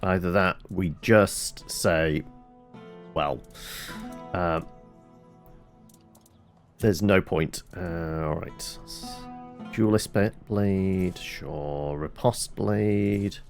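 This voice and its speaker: A man's voice: deep voice, extremely slow, creaky, pauses often. A man hosting a let's play, who rambles and corrects himself as he mutters through his inventory. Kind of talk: casual gameplay commentary. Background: game music.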